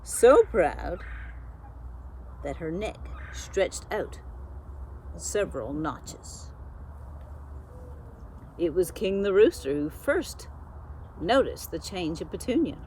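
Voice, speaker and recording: A woman narrating a children's storybook expressively, like a school librarian, with deliberate dramatic emphasis. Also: fairly low pitch, expressive, a little mellow, a little hiss or hum, poor audio. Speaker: school media specialist reading a storybook.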